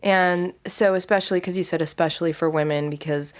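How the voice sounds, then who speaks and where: Low-voiced woman asking questions. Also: steady tone, fairly quick. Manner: asks long, searching questions with restarts. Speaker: interviewer. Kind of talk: conversational interview questions.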